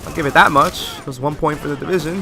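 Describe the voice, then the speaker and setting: A man's voice: a little high, quick pace, creaky. A man giving post-recorded commentary over gameplay, chatty and rambling, often asking viewers questions. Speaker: let's play host.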